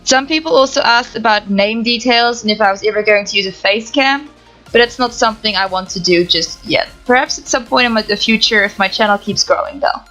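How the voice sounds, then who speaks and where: A young woman from the Netherlands with a Dutch accent, calmly reading out answers to viewer questions for her gaming channel. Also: fairly high pitch, brisk, soft background music, rough recording. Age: young adult. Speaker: gaming YouTuber. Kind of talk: reading Q&A answers to camera, no face cam. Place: home setup, voice-over without camera.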